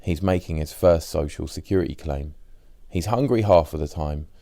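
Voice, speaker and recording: A man narrates a personal diary as an audiobook. His voice is extremely deep and soft-edged, with a dark, mellow tone. The sound is dry, with hardly any room around it. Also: normal speed, no echo.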